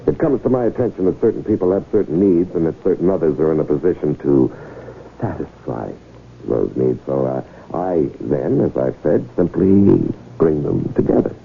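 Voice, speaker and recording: An actor playing a smooth, scheming gentleman con artist in a radio drama, with courtly, halting salesman's patter. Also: extremely low pitch, expressive, heavily acted. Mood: coolly assured. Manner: hesitant, courtly salesman's patter with deliberate pauses. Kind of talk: 1970s radio drama acting. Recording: muffled, faintly noisy old radio broadcast.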